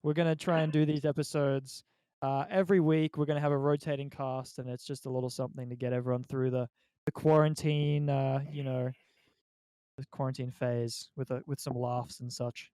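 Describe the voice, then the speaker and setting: A man's voice: medium pitch, flat delivery, brisk, warm tone, clean tone, no echo. A young man with an Australian accent hosting a casual group podcast chat. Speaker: podcast host.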